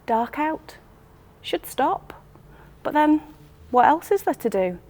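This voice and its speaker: Actress doing a lonely woman telling her story, highish voice, wistful n self-mocking, short clipped phrases, stage monologue. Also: big pitch swings, slow pace, darkish tone, heavily acted. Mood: lonely, weary. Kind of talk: first-person dramatic monologue.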